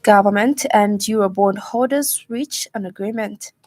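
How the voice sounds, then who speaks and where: A woman's voice: medium pitch, unhurried, a little mellow, soft background music. A woman with a Zambian accent reads a scripted news bulletin on the radio from a studio. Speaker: news reader. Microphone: studio broadcast microphone.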